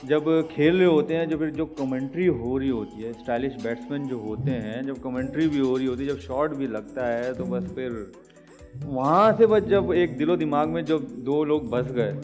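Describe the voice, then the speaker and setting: A man's voice: mid-range voice, moderate pace, a little mellow, soft background music. A man guesting on a talk show with a Pakistani Urdu accent, chatting casually and playfully dodging questions. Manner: evasive, good-humored, dodging questions. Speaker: guest cricketer. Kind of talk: casual chat.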